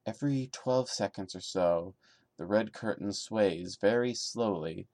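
A man with a fairly low voice, recorded dry and close. He reads a story aloud with dramatic delivery, then breaks off into sarcastic, exasperated commentary in the manner of a mocking podcast host. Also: unhurried, a little mellow, dry recording. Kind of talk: dramatic reading with mocking asides.

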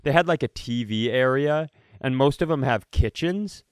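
A man co-hosting a podcast, chatting casually in an eager, animated way and steering the talk. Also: medium pitch, moderate pace, smooth, dry recording, studio quality. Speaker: podcast co-host.

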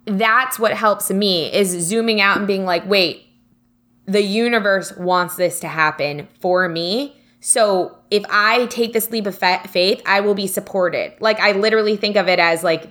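A woman's voice: on the low side, a bit bright. A woman co-hosting a podcast in casual, candid conversation, speaking informally and often saying "like.". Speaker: podcast co-host.

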